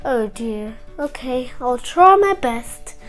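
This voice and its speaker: Woman as a fretful, high-voiced storybook king. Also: expressive, slow pace, faint music behind. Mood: reluctant, resigned.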